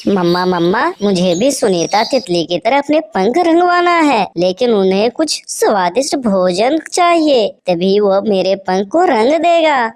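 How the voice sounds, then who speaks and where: A woman's voice: medium pitch, expressive, unhurried, hardly any pauses, a little mellow, close to an everyday voice. A woman playing an eager, boastful young male bird in a children's cartoon. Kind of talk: animated children's story voice acting.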